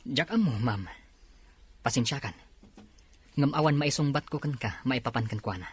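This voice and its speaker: A man's voice: mid-range voice, lively intonation, normal speed, slightly rough. An actor playing a polite, nervous office worker who keeps apologizing to his boss. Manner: apologetic, deferential.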